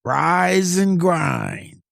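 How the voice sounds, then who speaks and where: A man's voice: high voice, drawn-out words, vocal fry, a bit bright, dry recording, studio quality. A man co-hosting a podcast, giving scripted, conversational commentary in short, wry statements. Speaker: podcast co-host.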